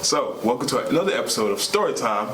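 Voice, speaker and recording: A man's voice: medium pitch, sing-song, fast talker. A young man talks earnestly and casually to viewers as a vlog co-host, repeating himself at times. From United States. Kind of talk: casual sincere talk to viewers. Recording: echoey room with clear background noise.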